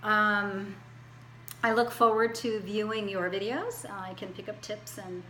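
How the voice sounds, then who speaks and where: Bright-voiced woman in her forties, hesitant. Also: medium pitch, steady tone, measured pace, echoey room, a little hiss or hum, low-quality recording. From Hawaii, US. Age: 40s. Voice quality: hesitant, many fillers and restarts. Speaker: student. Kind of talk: self-introduction video.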